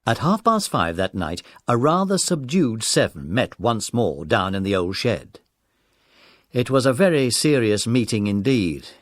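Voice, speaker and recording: A man's voice: mid-range voice, big pitch swings, unhurried, no echo, clean studio sound. A man reading scripted narration for a children's audiobook drama.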